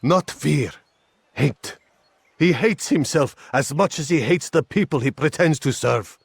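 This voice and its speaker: An actor playing a cornered, cynical informant in a video game, talking in a conspiratorial, resentful way. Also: male voice, a little high, animated, unhurried, creaky, slightly rough, no room sound, exaggerated voice. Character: cornered, cynical informant bargaining for his life. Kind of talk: video game cutscene acting.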